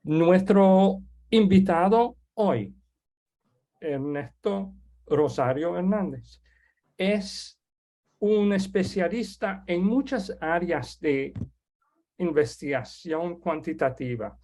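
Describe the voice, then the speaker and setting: A man whose first language is French, hosting an online webinar and introducing a guest speaker in a halting, casual way with many restarts. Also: sits high, expressive, dark tone. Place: online video call.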